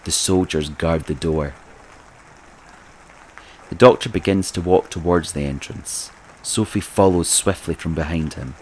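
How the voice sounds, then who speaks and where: A man's voice: low voice, measured pace, long pauses, a little mellow, a faint crowd, slight background noise, rough recording. A man reading scripted narration for an audio drama, describing the scene as narrator.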